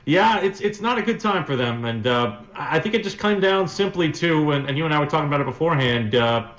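A man's voice: on the high side, animated, fast talker, fairly bright tone. Echoey male sports radio guest. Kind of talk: casual sports interview answers. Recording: roomy, echoing sound.

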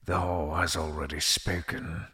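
A man's voice: deep voice, level tone, unhurried, bright, forceful tone. A man playing a grim, contemptuous accuser at a fantasy court in an audio drama, speaking theatrically. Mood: cold accusation.

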